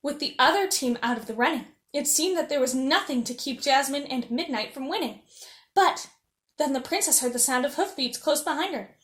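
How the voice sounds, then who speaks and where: High-voiced woman reading a children's story. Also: moderate pace, reverberant. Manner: animated, acting out dialogue. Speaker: storyteller reading as a princess character.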